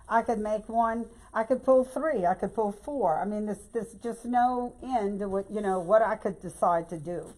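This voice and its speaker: An older woman with a hoarse voice and a Louisiana Southern accent, chatting folksily through a bow-making tutorial. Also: mid-range voice, normal speed, hardly any pauses, darkish tone, rough recording. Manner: chatty and folksy, keeps saying y'all. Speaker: craft tutorial host. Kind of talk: casual bow-making demonstration.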